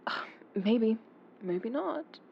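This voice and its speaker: A woman acting as a haunted, defiant neuroscientist confessing secrets in an audio drama, in a tense, hushed, breathy delivery. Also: on the high side, expressive, drawn-out words, highs cut off, exaggerated voice. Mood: reluctant, edgy.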